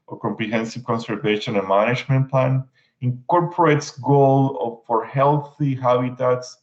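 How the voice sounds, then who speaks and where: Puerto Rican man, halting panel remarks. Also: mid-range voice. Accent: Puerto Rican Spanish. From Puerto Rico. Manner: halting, many fillers and restarts. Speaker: environmental agency official. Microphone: video call. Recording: dull lo-fi video call audio.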